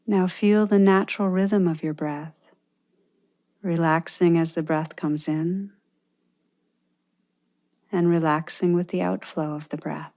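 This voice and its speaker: A woman leads a guided meditation in a very mellow, relaxed voice that sits a little low. She speaks very slowly and gently, leaving pauses between her instructions as a meditation teacher.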